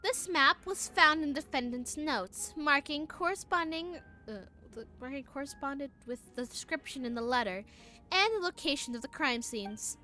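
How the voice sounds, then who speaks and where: A woman's voice: high-pitched, lively intonation, normal speed, sharp tone, faint music behind. A woman streamer reading game dialogue aloud during a live playthrough, often stumbling over words.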